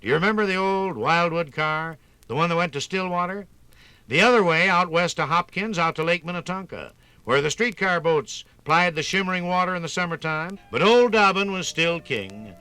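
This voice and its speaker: A man narrating a scripted 1950s radio program in a theatrical, folksy storytelling manner with drawn-out emphasis. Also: high voice, lively intonation, sharp tone, smooth, no room sound, low-quality recording.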